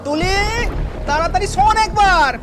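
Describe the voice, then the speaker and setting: Alarmed village uncle played by a woman: high, urgent cartoon delivery over music. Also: moderate pace. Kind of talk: cartoon voice acting. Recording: music behind the voice.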